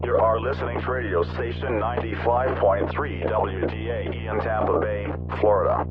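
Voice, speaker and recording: A man playing a serious radio announcer, reading scripted news and emergency warnings in a formal, measured way. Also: lowish voice, fairly even intonation, over music, natural voice. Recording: muffled radio with clear humming noise.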